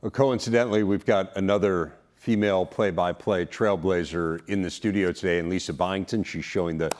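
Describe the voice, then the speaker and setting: A man's voice: low-pitched, steady tone, a little fast, darkish tone. An American man presenting and interviewing as the host of a sports studio show.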